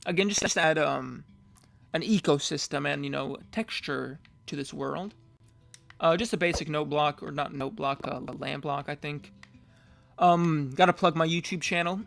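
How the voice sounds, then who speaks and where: A young man casually touring his game world, rambling and correcting himself, his voice getting hoarse. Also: fairly high pitch, moderate pace, a bit bright, soft background music. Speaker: gamer giving a world tour.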